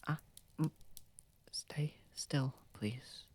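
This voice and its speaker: A man playing a posh, gentle, protective vampire in an ASMR roleplay, soft-spoken and often dropping into a whisper, with formal wording. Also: medium pitch, very slow. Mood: tender, careful. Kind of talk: ASMR roleplay audio drama.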